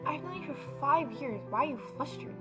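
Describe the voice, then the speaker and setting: A woman's voice: high voice, expressive, fairly quick. A woman gaming YouTuber reading visual novel narration aloud and adding casual asides. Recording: music behind the voice. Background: visual novel game music.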